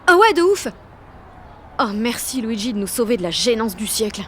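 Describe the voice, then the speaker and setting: High-voiced, excitable teenage girl. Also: moderate pace, slight background noise. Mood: excited. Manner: gushing, slangy, swearing, self-mocking. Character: excitable, self-conscious sixteen-year-old French girl. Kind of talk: audio drama, slangy first-person inner narration and dialogue.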